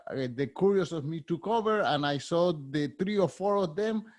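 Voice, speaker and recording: A man in his fifties with a Spanish accent, hosting an interview and asking curious, candid questions with a slightly halting delivery. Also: on the high side, lively intonation, a little mellow. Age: 50s. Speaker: podcast host.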